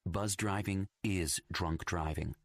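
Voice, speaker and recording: A man's voice: deep voice, fairly even intonation, slow, no room sound, clean studio sound. A man reading a scripted public service announcement for radio.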